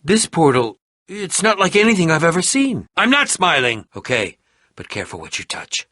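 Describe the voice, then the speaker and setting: An actor voicing an excitable, eccentric, sarcastic adventurer in a video game, with a theatrical delivery that moves between muttering and exclaiming. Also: male voice, fairly high pitch, normal speed, dry recording, clean studio sound, heavily acted. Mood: sardonic, guarded. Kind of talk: animated video game voice acting.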